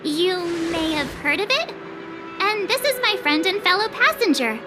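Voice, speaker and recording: A very high, lively girlish voice over prominent game music. This teenage traveler is bubbly and talkative, with a playful, teasing streak. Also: moderate pace. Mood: flustered, lively. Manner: chatty, expressive, playful. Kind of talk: video game cutscene acting.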